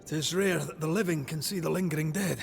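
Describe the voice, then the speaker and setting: An actor playing an earnest, bold ghost hunter with a Scottish accent in a video game, plain-spoken and eager. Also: male voice, on the high side, fast, gravelly, faint music behind. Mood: frank. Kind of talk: video game cutscene acting.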